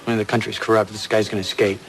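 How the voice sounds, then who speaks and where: An actor playing a blunt, streetwise police detective in a crime drama, talking in casual short phrases. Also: male voice, on the low side, flat delivery, quick pace, a little hiss or hum, lightly acted, low-quality recording.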